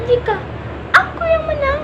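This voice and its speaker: Woman doing a shrill, defiant little ant. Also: a little slow, muffled recording, background noise. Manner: shrill challenging taunt. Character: small clever ant, bold and defiant.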